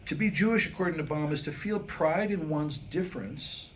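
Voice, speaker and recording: An American man performing a staged comic monologue in a wry, self-deprecating way, with deliberate pauses around his punchlines. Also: fairly high pitch, animated, fairly bright tone. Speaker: writer and performer reading his own new comic script. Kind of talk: staged comedic monologue to a live audience. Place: live staged reading before a small audience. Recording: noisy live room recording.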